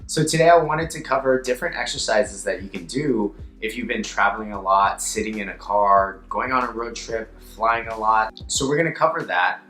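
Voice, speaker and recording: Hoarse upbeat man, bright voice. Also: lowish voice, moderate pace, hardly any pauses, echoey room, faint music behind. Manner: upbeat, playful, self-mocking. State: hoarse, voice a little raspy. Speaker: yoga teacher. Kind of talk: casual vlog chat.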